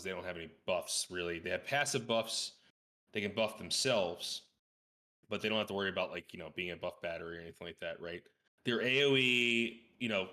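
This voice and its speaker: A male podcast guest talks games in a casual, conversational way. His voice sits fairly low yet has a bright, forceful edge, and he makes his points with emphasis. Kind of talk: casual gaming podcast chat.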